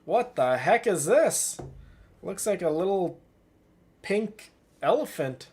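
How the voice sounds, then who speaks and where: A man's voice: sits high, big pitch swings, slow, darkish tone. A man chatting casually as a livestream host while he plays a game, effusive and affectionate toward his viewers.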